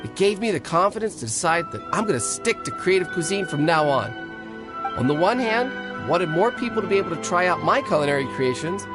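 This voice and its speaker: Eager, high-pitched food vendor. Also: male voice, expressive, fairly quick, over music. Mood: enthusiastic, earnest. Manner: eager, deferential, explanatory. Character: eager, earnest male food stall vendor, enthusiastic inventor. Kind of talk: video game character acting.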